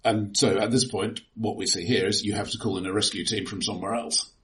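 Low-voiced man, halting and analytical. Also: fairly even intonation, fairly bright tone. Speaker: podcast co-host. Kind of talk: casual film discussion.

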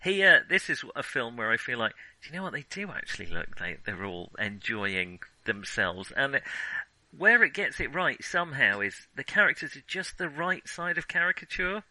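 A man's voice: mid-range voice, big pitch swings, moderate pace, sharp tone, dry recording, rough recording. A man co-hosting a film podcast, chatting casually with wry, enthusiastic humour. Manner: enthusiastic, chuckling, wryly joking.

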